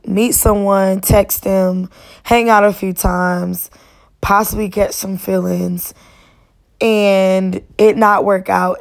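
A young woman hosting a solo podcast, her voice raspy and worn, talking in a casual, chatty, encouraging way. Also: mid-range voice, a little mellow. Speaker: podcast host.

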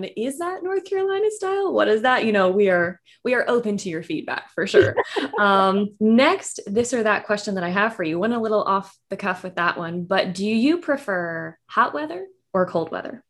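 A woman's voice: medium pitch, a bit bright. Chatty woman hosting a podcast. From southern Virginia. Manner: chatty, laughs often. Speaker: podcast host. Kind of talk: casual podcast chat.

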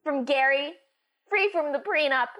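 A woman's voice: high voice, a little slow, highs cut off. A woman playing a bitter, scheming housewife who hosts a cooking show in a comedy radio play, mock-cheerful and sardonic. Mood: vengeful, scheming.

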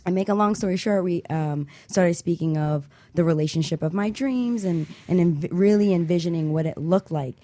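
A woman's voice: fairly low pitch, moderate pace, hardly any pauses, warm tone, no room sound. A woman co-hosting a talk radio show, telling a personal story in a chatty way. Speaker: co-host.

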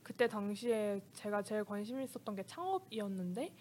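A woman's voice: medium pitch, expressive, a little fast, a little mellow, a little hiss or hum. A woman in her early twenties chatting casually and politely as a guest, often giggly. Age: early 20s. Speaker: talk show guest. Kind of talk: casual chat.

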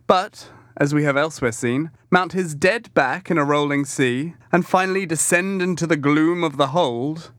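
A man reading a classic novel as an audiobook, with measured, expressive storytelling delivery. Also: on the high side, dry recording, clean studio sound. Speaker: audiobook reader. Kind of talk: literary audiobook narration.

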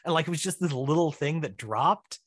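A man's voice: fairly high pitch, lively intonation, a little fast, slightly rough, no echo. Chatty man in a dry, close recording. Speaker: interview guest, writer-director. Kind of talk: casual podcast interview.

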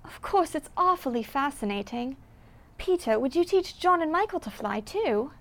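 An excitable little girl from a storybook, acted by a woman. Her voice is high and very animated, sweet and eager, exclaiming in wonder. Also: normal speed, full character voice. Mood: enchanted.

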